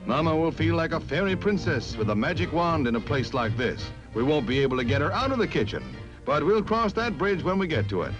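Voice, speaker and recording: A man's voice: a little high, sing-song, fairly quick, few pauses, dull audio, music in the background. A man with an American accent reading scripted newsreel narration in a dramatic announcer style, on a vintage 1950s soundtrack.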